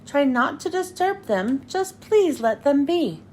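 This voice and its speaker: Storybook elephant voiced by a woman, gentle, earnest, emphatic, high pitch, very expressive. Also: measured pace, slight background noise. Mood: earnest pleading. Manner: sincere, pleading, emphatic.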